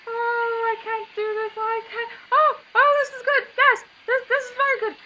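A woman's voice: very high-pitched, flat delivery, normal speed, a little hiss or hum. A woman voicing a flustered, proper young woman lost in the jungle in a cartoon fandub, stammering and exclaiming breathlessly. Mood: panicked. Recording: muffled amateur microphone over loud music.

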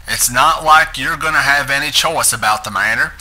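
A man's voice: medium pitch, moderate pace, bright, forceful tone, low-quality recording. A man giving a dramatic reading of a script as its narrator. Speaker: narrator reading a transcript aloud.

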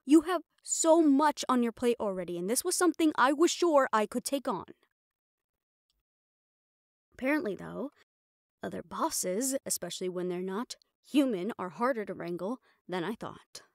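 A woman's voice: a little high, expressive, moderate pace, no echo, clean studio sound. A woman playing a mafia boss's proud, frustrated personal assistant in an intimate roleplay audio drama, with an exasperated, self-reproachful manner. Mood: exasperated, reluctant.

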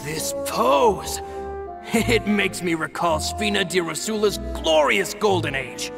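A man's voice: high voice, very animated, slow pace, gravelly, heavily acted. A man playing a dutiful, genial henchman who serves his boss in a video game. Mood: wry. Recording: faint music behind.

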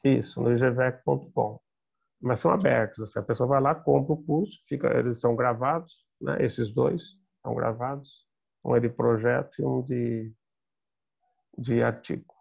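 An older man giving a lively, emphatic seminar talk from an office over a video call, gesturing as he speaks. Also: medium pitch, moderate pace, creaky, husky. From Brazil. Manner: emphatic, conversational, gesturing with hands. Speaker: guest lecturer. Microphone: webcam on video call. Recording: dull lo-fi video call audio.

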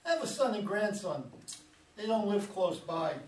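A man's voice: sits high, big pitch swings, moderate pace, a little mellow. An older man playing a wary, regretful grandfather in a staged, dramatic script reading. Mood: wary, irritated. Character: wary, regretful father and grandfather, a lonely driver. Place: meeting room with long table, indoors. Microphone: far camera. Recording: roomy, distant mic, background room noise.

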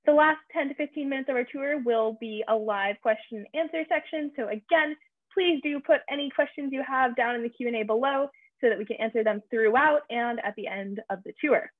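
A woman's voice: fairly high pitch, a little fast, dry recording. A young woman hosting a virtual campus tour, introducing the session in an upbeat, emphatic way over a video call. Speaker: tour host. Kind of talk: scripted event introduction. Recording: tinny phone-like video call audio.

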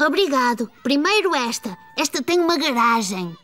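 A friendly little preschool girl in a cartoon, very high and bright. Her European Portuguese is childlike and swings in pitch. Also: very animated, moderate pace, full character voice. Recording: faint music behind the voice.

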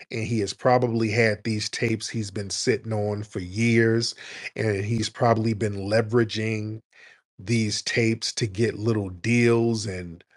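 A man hosting a call-in commentary show, combative and opinionated, firing rhetorical questions and acting out quoted voices. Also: on the low side. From United States. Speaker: livestream show host.

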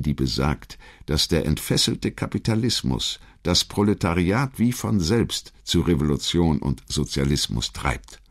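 A man reading a scripted historical documentary narration in a measured, articulate way. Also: a bass voice.

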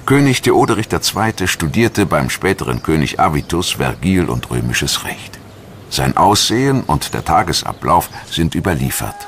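A man narrating a scripted history documentary in a measured, articulate storytelling manner. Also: rumbling low, fairly bright tone, slight background noise.

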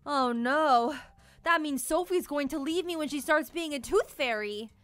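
Storybook little girl, played by a woman: very high, childlike, imaginative, earnest big sister. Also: unhurried, a bit bright, no room sound, faint music behind. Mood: worried. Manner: earnest, wide-eyed, childlike.

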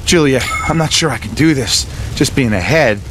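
A man's voice: medium pitch, lively intonation, normal speed, fairly bright tone, slight background noise, lightly acted, poor audio. A man playing a whiny, sarcastic teenage boy, a complaining severed head in a video game cutscene. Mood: whiny, reluctant. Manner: complaining, dry asides.

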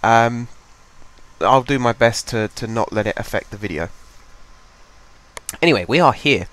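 A young man speaks close to a desk microphone, talking through his game in an easy, rambling way. He has a cold, so his voice sounds a bit stuffy and congested. His pitch sits in a middle range, and his delivery is loose and full of fillers. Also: animated, unhurried, a bit bright. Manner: chatty, rambling, thinks aloud with fillers. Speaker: gaming channel host. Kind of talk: casual game commentary. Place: home desk.

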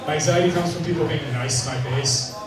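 A young man doing a stand-up set on a bar stage, with a dry, deadpan delivery, filmed on a handheld mic from out in the crowd. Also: a little high, quick pace. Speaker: stand-up comedian. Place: bar stage with a live audience. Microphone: handheld mic, filmed from far in the crowd. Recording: echoey bar sound from far off, crowd laughter.

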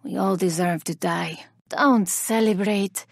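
An actress playing a vengeful, haughty elven woman warrior in a video game, speaking with deliberate, theatrical menace. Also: mid-range voice, creaky, no echo, clean studio sound. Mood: haughty scorn. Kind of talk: video game character voice lines.

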